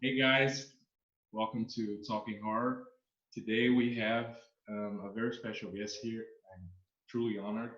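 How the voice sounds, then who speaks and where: A man's voice: fairly low pitch, level tone, a bit bright, reverberant, dull audio. A man from Brazil with a Brazilian Portuguese accent, hosting an interview in English and stopping often to find his words. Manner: halting, searching for words. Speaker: interviewer, channel host. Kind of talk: casual interview.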